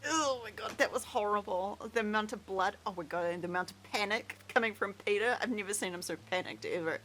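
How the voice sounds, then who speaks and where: A woman's voice: mid-range voice, fast, a bit bright, a little hiss or hum, poor audio. A woman chatting in character during a roleplay game, wry and teasing. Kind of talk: casual roleplay chat in character.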